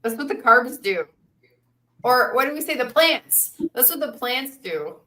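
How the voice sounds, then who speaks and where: A woman co-hosting a casual livestream on a video call, upbeat and quick to react. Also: high-pitched, animated, bright, forceful tone. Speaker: co-host.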